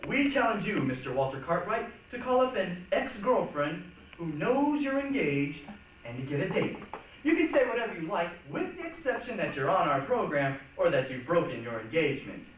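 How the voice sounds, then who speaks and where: Man as a high, showy game show host. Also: big pitch swings, moderate pace. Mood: showy, challenging. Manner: declamatory, formal announcer patter. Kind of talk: stage readers' theatre acting. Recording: echoey hall, distant mic, faint noise.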